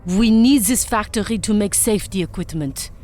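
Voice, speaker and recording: A woman's voice: medium pitch, normal speed, darkish tone, faint music behind, lightly acted. A woman playing a snippy, proud doctor with a French accent in an audio drama. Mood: irritated.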